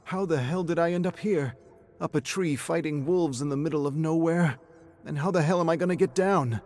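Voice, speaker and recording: A man's voice: fairly high pitch, moderate pace, a little mellow, slight background noise, voice pushed up, natural voice. A man voicing a lost, self-doubting man stranded alone, emotional and exasperated, in dramatic storytelling dialogue.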